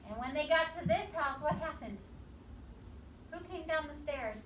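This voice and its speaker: A woman with a fairly high voice talks young children through a picture book as their teacher. She prompts them with questions and echoes what they answer. The recording is distant and echoey, with clear background noise. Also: animated, normal speed. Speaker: preschool or kindergarten teacher. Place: indoor classroom. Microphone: camera a few metres away, voice through face mask.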